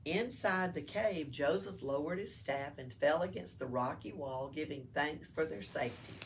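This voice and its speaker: A woman's voice: deep voice, moderate pace. A woman reading a storybook aloud to children as a library storyteller. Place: indoors in a library. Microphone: distant room mic. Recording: distant mic with faint background noise.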